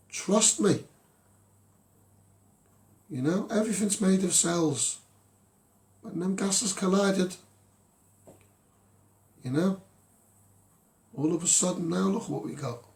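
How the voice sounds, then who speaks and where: A man's voice: a little high, a little mellow. A man with a Scouse accent speaks off the cuff to his followers as a campaigner, in an earnest, exhortative monologue. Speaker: social media campaigner addressing followers.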